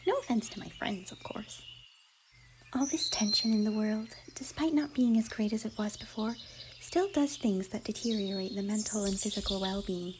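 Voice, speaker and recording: A woman voicing an affectionate, bubbly young cartoon pony in an ASMR roleplay, with a squeaky, breathy voice and a theatrical, tender manner. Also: normal speed, natural voice. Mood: gently reassuring. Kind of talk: ASMR fan fiction roleplay. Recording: noisy, birdsong and ambience behind.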